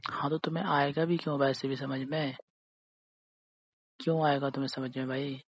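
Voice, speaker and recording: A man acts out a young boyfriend in a phone-call drama, hurt and jealous. His delivery is flat and narrow in pitch, sulky and repetitive, pressing each accusation with little tag questions. The audio is dull and lo-fi. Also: normal speed, lightly acted. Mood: bitter, accusing. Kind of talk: acted phone-call drama in casual conversational speech.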